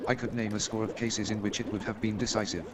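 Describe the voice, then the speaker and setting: A man's voice: on the low side, level tone, fast, natural voice. A man playing an eager, self-assured amateur detective and chemist in a staged radio play, speaking in an emphatic, pedantic way. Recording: noisy with watery background sound.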